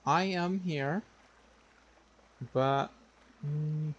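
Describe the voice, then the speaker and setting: A man livestreaming a game with casual, halting chat, often muttering to himself. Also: on the high side, husky, muffled recording. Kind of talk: casual gaming stream chat.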